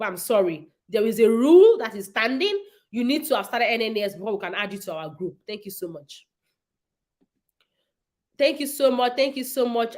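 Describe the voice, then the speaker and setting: A woman's voice: on the high side, a bit bright. A woman, hosting a casual livestream and answering viewers' nursing questions in an emphatic, repetitive way.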